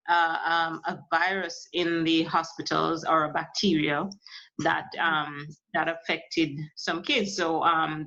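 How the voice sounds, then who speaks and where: A woman from Jamaica, a musician in her thirties, speaking softly and hesitantly with many fillers in a casual interview. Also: deep voice. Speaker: musician, guest. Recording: lo-fi muffled audio.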